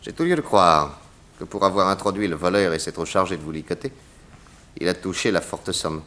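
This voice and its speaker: Casting: confident, inquisitive detective, fairly low voice, very lively intonation, classic 1960s radio drama. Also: male voice, normal speed. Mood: confident, deductive. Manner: courteous, inquisitive, theatrical. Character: shrewd, probing, confident American male detective. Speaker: actor in a detective radio serial.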